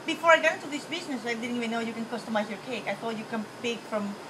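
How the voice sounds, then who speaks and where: A woman's voice: on the high side, fairly even intonation, rapid-fire, noisy background. A woman from the Dominican Republic with a Dominican Spanish accent, answering interview questions casually and candidly, correcting herself as she goes. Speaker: interview guest, small business owner.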